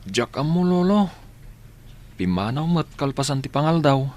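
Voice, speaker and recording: An actor with an Ilocano accent playing a friendly, self-assured young student and grandson in a radio drama. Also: male voice, a little high, very animated, normal speed, darkish tone. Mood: respectful. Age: young adult.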